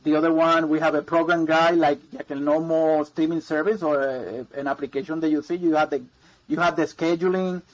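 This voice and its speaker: A man's voice: on the high side, steady tone, a little fast, lo-fi sound. Man, rambling guest. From Puerto Rico. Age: 40s. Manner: rambling, repeats words, many ums and uhs. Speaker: guest, mortgage broker. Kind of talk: casual interview chat.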